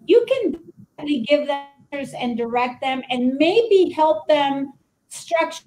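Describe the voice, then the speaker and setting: A woman's voice: high voice, expressive, moderate pace, rough recording. Enthusiastic woman host on a video call. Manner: warm and enthusiastic, laughs often. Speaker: show host. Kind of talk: casual interview chat. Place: home room.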